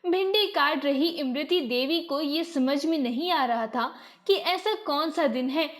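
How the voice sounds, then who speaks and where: A woman's voice: sits high, a little slow. A woman narrating a scripted moral story as a storyteller.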